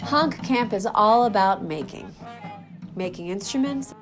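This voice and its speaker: A woman's voice: mid-range voice, expressive, measured pace. A woman camp organizer describing activities in an upbeat, listing way. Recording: over loud brass music.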